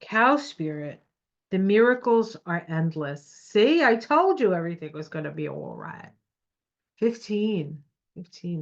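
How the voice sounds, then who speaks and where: A woman with a stuffy nose giving a casual, encouraging tarot reading at her home computer. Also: on the low side. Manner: encouraging, reassuring. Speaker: tarot reader. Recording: dull lo-fi sound.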